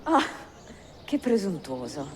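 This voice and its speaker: An actress playing a curious, teasing young woman with an Italian accent and an expressive manner in a game cutscene. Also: on the low side, measured pace, noisy background. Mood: intrigued, teasing. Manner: playful, expressive. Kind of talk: video game cutscene acting.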